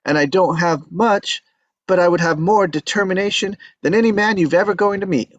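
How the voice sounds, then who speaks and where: A man's voice: high-pitched, moderate pace, dry recording. Man reading determined young man in love, earnest, muffled, dry sound. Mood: pleading. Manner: earnest, pleading. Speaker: table read actor. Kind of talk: live table read acting.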